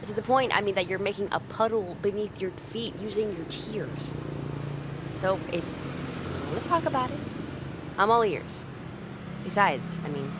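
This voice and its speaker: A woman's voice: medium pitch, noisy background, lightly acted. A woman playing a tough but secretly caring delinquent girl in an ASMR roleplay, talking bluntly and teasingly to the listener. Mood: gruff concern. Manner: blunt, teasing, streetwise, with hesitant softening.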